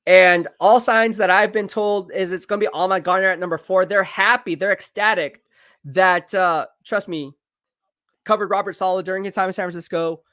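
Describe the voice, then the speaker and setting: A man live-streaming football draft commentary, excitable and hyping each pick, his voice going hoarse. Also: sits high, normal speed, no room sound, clean studio sound. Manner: excitable, hypes picks, reads chat aloud. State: losing his voice.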